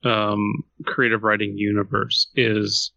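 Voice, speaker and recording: A man's voice: fairly low pitch, level tone, slow pace, vocal fry, bright, forceful tone. A man co-hosting a podcast in casual, conversational discussion. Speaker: podcast host.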